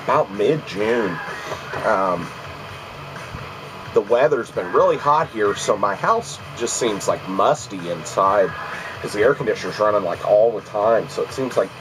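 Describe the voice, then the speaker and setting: A man's voice: on the low side, lively intonation, unhurried, noisy background. Congested man rambling about game finds. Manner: rambling, many ums and restarts. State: congested from allergies. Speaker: video game collecting vlogger. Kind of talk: casual rambling vlog. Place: at home.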